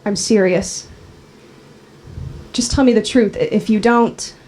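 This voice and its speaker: A woman's voice: mid-range voice, fairly even intonation, measured pace, darkish tone, a little hiss or hum, close to an everyday voice, rough recording. A woman playing a wounded, insistent daughter confronting her father in a dramatic script reading, with terse, earnest lines. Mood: insistent, fearful. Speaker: actor in a screenplay table read.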